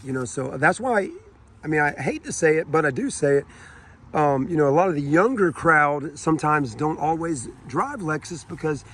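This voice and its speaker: Raspy-voiced car salesman, chatty and rambling. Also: fairly high pitch, a little hiss or hum. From South Carolina. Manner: rambling and chatty, many fillers and asides. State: raspy, sore throat. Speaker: car salesman giving a walkaround review. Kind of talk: casual car walkaround tour. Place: outdoors on a car dealership lot.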